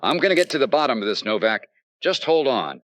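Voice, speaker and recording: An actor playing an earnest, dogged investigator in an old-time radio detective drama, urgent and no-nonsense in dialogue and narration. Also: male voice, mid-range voice, big pitch swings, fairly quick, a bit bright, no echo. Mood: urgent, protective. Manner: hard-boiled, urgent, no-nonsense. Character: earnest, dogged male insurance investigator in a noir detective serial.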